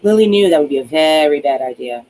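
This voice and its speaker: A man with a slightly high voice narrates a novel in a dramatic storytelling manner. He stumbles now and then over a phrase. There is a faint noise on the recording. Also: expressive, fast, darkish tone. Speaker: author reading own novel aloud. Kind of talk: audiobook narration of a romance novel.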